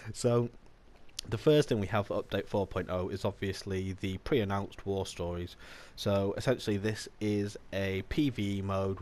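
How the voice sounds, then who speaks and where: A man with a bad cold, slightly nasal, hosting a casual gaming channel update in a chatty, relaxed way. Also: low voice. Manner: chatty and relaxed, with small laughs and restarts.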